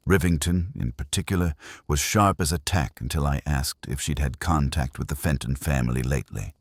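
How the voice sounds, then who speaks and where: Very deep-voiced man narrating detective fiction. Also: a little fast, dry recording, studio quality. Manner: wry, hardboiled. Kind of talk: first-person scripted detective fiction narration.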